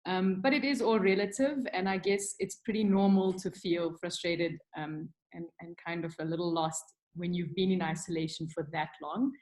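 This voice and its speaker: A woman's voice: lowish voice, steady tone, moderate pace, darkish tone, low-quality recording. A middle-aged South African woman, a creative director, chatting candidly as a webinar guest, with many ums and restarts. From South Africa (Durban). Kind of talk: casual webinar chat. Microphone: video call.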